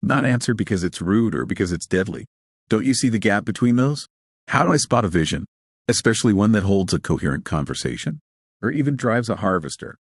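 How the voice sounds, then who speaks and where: A man's voice: fairly low pitch, expressive, fairly quick, breathy edge, no room sound, studio quality. A man voicing a bewildered, frustrated space station worker in an audio story, questioning and exasperated.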